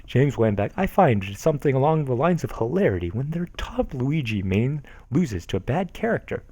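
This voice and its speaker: Streamer, male, lively and mocking, dry close mic. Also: mid-range voice, moderate pace, husky, no room sound. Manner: mocking, animated, laughs often. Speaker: streamer reading forum posts aloud. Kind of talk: casual dramatic reading with commentary.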